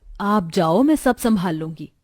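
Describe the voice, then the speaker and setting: A woman's voice: mid-range voice, lively intonation, measured pace, a little mellow. A woman voicing an educated, resolute young city wife in a cartoon story. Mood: persuasive.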